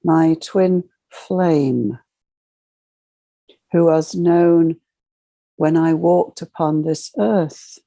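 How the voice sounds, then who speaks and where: A woman leading a channeled guided meditation, speaking as a solemn spiritual guide in measured, ceremonial short phrases. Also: deep voice, vocal fry, dark tone, no echo.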